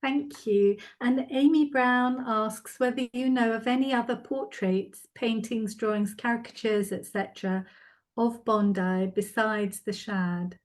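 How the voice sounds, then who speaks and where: A woman's voice: on the high side, steady tone, measured pace. A woman moderating an online question session, speaking politely and formally with hesitant phrasing as she hosts and reads out comments. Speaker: host and moderator of an online art talk. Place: online video event.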